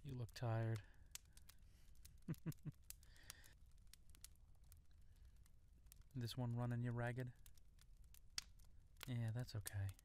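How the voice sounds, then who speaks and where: Man playing tender young husband, unhurried. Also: mid-range voice, little pitch movement, a little hiss or hum, natural voice. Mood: caring. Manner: tender, soft-spoken, playful baby talk at times. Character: gentle, affectionate young husband and new father. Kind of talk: intimate audio roleplay, one-sided conversation.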